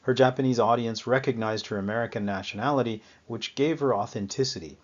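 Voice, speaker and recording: A man giving an unscripted lecture on music history, often pausing with fillers and correcting himself. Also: medium pitch. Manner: halting, frequent fillers and self-corrections. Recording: slightly dull lo-fi sound.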